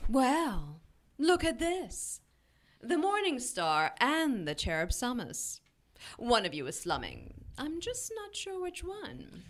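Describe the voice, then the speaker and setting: A woman's voice: high voice, huge pitch swings, takes it slowly, heavily acted. A woman playing a haughty, menacing immortal demoness in an audio drama, with an imperious, taunting delivery. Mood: scornful mockery.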